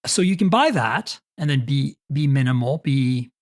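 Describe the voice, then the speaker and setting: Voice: mid-range voice, lively intonation, moderate pace. Conversational podcast host in their fifties. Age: 50s. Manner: conversational, tags questions with "right?". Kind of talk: casual podcast interview.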